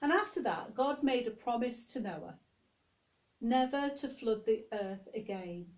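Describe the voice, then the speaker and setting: Casting: female children's storyteller, higher-pitched, very unhurried, expressive, drawn-out emphasis, chatty asides to little listeners. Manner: expressive, addressing young listeners directly. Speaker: minister reading a story to young children.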